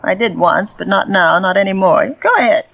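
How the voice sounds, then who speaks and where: A woman's voice: medium pitch, normal speed, creaky, fairly bright tone, dull audio. A greedy fake medium from an old-time radio drama. She sounds cold and domineering, with a cutting edge to every line. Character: scheming greedy woman medium, cold and commanding. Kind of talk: 1940s radio drama acting.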